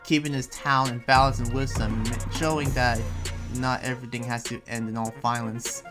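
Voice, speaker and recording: A man playing an earnest, protective young town heir in an improvised anime game roleplay, talking in a halting, conversational way. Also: medium pitch, flat delivery, natural voice. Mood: reflective. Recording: background music under the voice.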